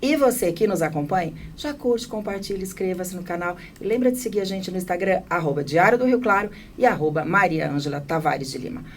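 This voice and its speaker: A woman from São hosts a talk show in a fairly low voice. She sounds a little away from the microphone, with faint noise around her. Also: lively intonation, a little fast, hardly any pauses, distant mic. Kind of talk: talk show presenting and interviewing.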